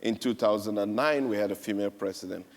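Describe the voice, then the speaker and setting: A man's voice: lowish voice, fast. A man from Cameroon speaking on a panel, telling a story with emphatic repetition. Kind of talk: panel speech. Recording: echoey hall.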